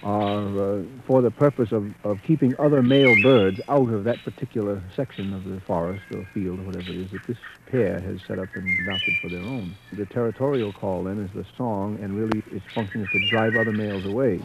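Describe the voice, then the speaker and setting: A man giving an explanatory nature talk in a halting manner. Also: fairly low pitch. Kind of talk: explanatory bird-song talk. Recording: very noisy, clicky old recording.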